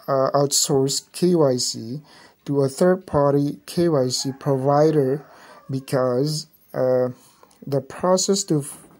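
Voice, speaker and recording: A woman's voice: sits low, a little mellow. A woman with an Indonesian accent presenting an informal tutorial, explaining step by step in a hesitant way. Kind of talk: informal screen tutorial narration.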